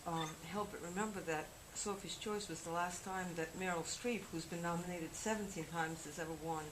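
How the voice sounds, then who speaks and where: A woman in an audience, asking a question in a casual, slightly halting way. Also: lowish voice, steady tone. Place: audience at a public event. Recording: clear background noise in venue.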